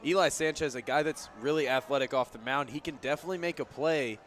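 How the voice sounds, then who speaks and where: A man's voice: a little high, quick pace, a bit bright, faint music behind. A man giving conversational, analytical color commentary during a live baseball radio broadcast, explaining the plays as they happen. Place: outdoor ballpark broadcast position.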